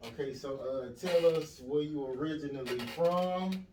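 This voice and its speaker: A man's voice: fairly high pitch, a little slow, background noise. A man hosting a podcast, asking blunt, offhand questions in slangy talk. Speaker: podcast host. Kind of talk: casual interview chat. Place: echoey podcast room. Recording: echoey room, distant mic.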